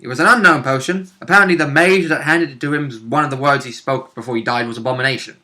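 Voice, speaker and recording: A man chatting casually as a player in a tabletop game recap, jokey and quick to interject. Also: mid-range voice, pushed and bright. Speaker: player and co-host of tabletop role-play recap. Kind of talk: casual chat.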